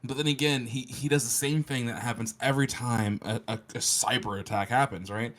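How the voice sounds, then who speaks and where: Blunt, sardonic man with mid-pitched voice. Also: fairly even intonation, brisk, fairly bright tone. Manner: blunt, sardonic, emphatic repetition. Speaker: co-host. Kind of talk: casual tech banter.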